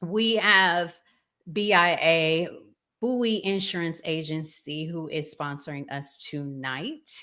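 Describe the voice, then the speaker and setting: A woman's voice: sits low, fairly even intonation, takes it slowly, pushed and bright. A middle-aged American woman hosting a casual livestream talk show in a conversational manner. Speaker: podcast host.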